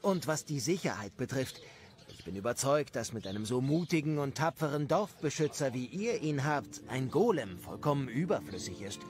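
An actor playing a charming, scheming noble count in an audio story, speaking in a courtly, flattering, effusive manner. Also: male voice, mid-range voice, moderate pace, faint music behind, close to an everyday voice. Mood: smooth, persuasive.

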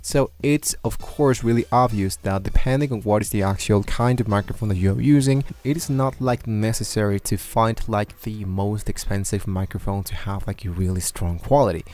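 A man walking viewers through software on his screen, talking loosely with many fillers and restarts. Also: on the low side, moderate pace, hardly any pauses. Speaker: tutorial host. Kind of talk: screen-recorded software tutorial. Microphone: desktop microphone at a computer.

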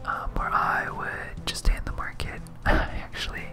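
Hushed whispering ASMR gamer. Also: moderate pace, loud music behind the voice. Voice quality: breathy unvoiced whisper. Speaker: video game streamer. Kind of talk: ASMR whispered game let's play commentary.

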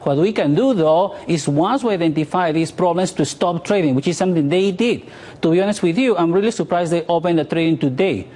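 Guy in his 40s, kinda high voice, giving market commentary on live tv news. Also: brisk, a little mellow. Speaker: author and market commentator guest.

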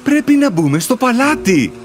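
A man voicing characters in an animated fairy-tale story, with lively, hesitant delivery. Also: very high voice. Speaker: voice actor for several male characters. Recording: music bed behind the voice.

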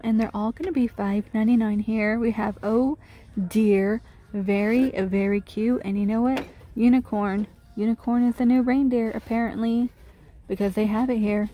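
A woman's voice: fairly high pitch, dark tone. A woman filming a shop-with-me walkthrough in a big store, chatting and gushing over cute finds, recorded on a handheld phone. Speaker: shopping vlogger. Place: large retail store, indoors. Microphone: handheld phone camera.

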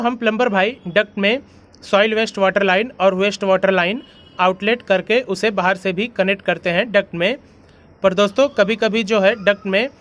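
High-voiced man hosting a plumbing channel. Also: fairly bright tone. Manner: friendly, repeatedly addresses viewers as friends. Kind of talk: casual explainer voice-over. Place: voice-over over animation.

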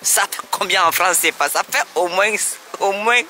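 A man's voice: high-pitched, normal speed, bright, forceful tone, soft background music. Man complaining casually outdoors. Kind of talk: street vlog. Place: outdoors on a street.

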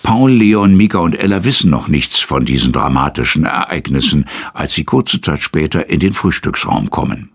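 A man's voice: rumbling low, lively intonation, normal speed, hardly any pauses, husky. A man narrating a children's audio story as its presenter, in scripted storytelling style.

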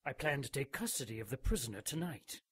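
An old, menacing vicar of a secret holy order for a radio drama. He is formal, cold and imperious, with a husky, medium-pitched voice in a dry, close recording. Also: big pitch swings, fast, fairly bright tone, no room sound.

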